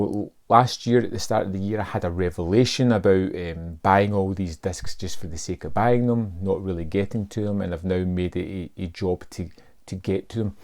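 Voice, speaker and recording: Low gravelly man musing to camera. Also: dark tone. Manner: reflective, hesitant with fillers and restarts. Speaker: film review channel host. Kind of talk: casual talk to camera.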